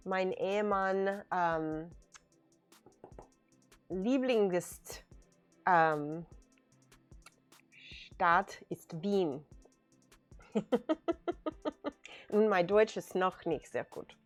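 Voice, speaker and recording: A woman's voice: mid-range voice, expressive, very slow, pauses often. A woman hosting a casual livestream, chatting and laughing as she reads viewer comments aloud. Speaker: miniature painting streamer. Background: faint background music.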